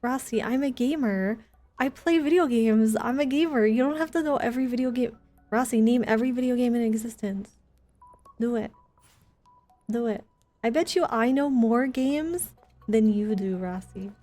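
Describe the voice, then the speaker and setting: A woman's voice: fairly high pitch, normal speed, dark tone, soft background music. A woman chatting casually as she streams a video game, with playful, teasing banter. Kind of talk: casual livestream gaming chat.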